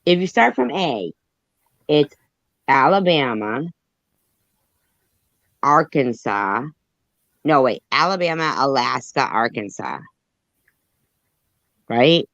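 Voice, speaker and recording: Slow-talking guy, keeps insisting, spells out letters, dull muffled audio, dry sound. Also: medium pitch, very animated, gravelly, fairly bright tone, dry recording. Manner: insistent, argues each point and spells out letters. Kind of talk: casual chat.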